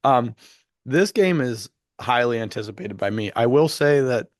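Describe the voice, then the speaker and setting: A man's voice: medium pitch, animated, normal speed, no echo, studio quality. A young man co-hosting a podcast in casual chat, enthusiastic and full of fillers, his voice a bit worn from being sick. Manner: chatty, enthusiastic, many fillers. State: losing his voice after illness. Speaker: podcast co-host.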